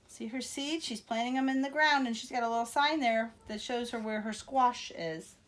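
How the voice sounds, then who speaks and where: A woman reading a picture book aloud to children in an expressive, teacherly way, with asides to young listeners. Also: fairly high pitch, normal speed.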